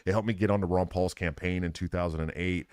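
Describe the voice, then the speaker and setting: A deep-voiced American man hosts a casual interview. He talks in an earnest, informal way, and the sound is dry, with little room in it. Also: fairly even intonation, quick pace, a little mellow, clear, resonant tone, dry recording. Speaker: podcast host. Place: live at a political convention.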